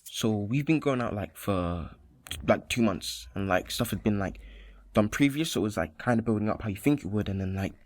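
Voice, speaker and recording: A man's voice: lowish voice, animated, a little fast, slight background noise, natural voice. An actor playing a cautious teenage boy in a naturalistic radio play, talking in a hesitant, rambling, colloquial way. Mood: hesitant, earnest.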